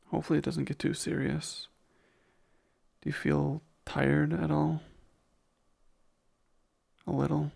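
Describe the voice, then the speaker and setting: A man acting as kind male vampire, very creaky voice, husky, tender, formal, soothing ASMR roleplay. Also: a little high, mellow, full character voice. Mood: tender concern. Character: gentle, protective male vampire caretaker.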